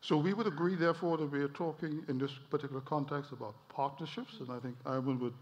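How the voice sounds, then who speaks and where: A man moderating a conference panel, summing up points in a measured way with small repeats. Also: fairly high pitch, fairly even intonation. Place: conference hall. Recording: long reverb, slightly dull sound.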